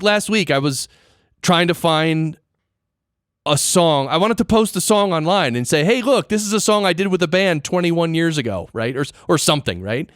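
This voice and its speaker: A man's voice: on the high side, a bit bright, no echo, studio quality. A man hosting a podcast, chatting casually with his guest in an interview. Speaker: podcast host.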